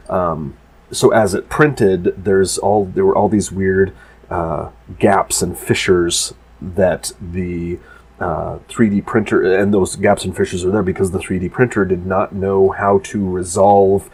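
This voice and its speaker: Man, deep and slightly husky, congested and nasal; loose rambling voice-over for a maker video. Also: darkish tone. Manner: rambling, full of restarts and fillers. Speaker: maker explaining his prop build. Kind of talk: casual voice-over narration of a build video.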